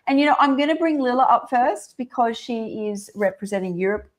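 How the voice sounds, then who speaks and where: Excitable aussie woman hosting a video call, high voice that swoops all over. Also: fairly quick. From Australia. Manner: bubbly, excitable, laughs often. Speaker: host. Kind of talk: casual live-stream chat.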